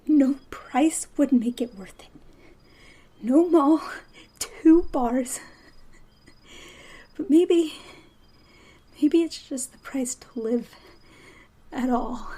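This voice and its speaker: High-pitched young woman, lost and frightened, halting, very slow, whispery calls, audio drama.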